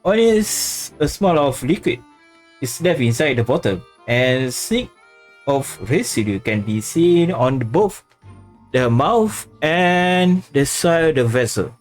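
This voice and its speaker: A woman streaming a game, chatting casually and reading text aloud haltingly, stumbling over words. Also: low-pitched, big pitch swings, a little mellow. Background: faint game music.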